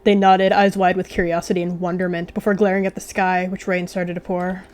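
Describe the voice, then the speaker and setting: A woman's voice: lowish voice, level tone, a little fast, a little mellow, soft background music. A woman narrating a video game roleplay in story style, with casual asides to viewers. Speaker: gaming video creator.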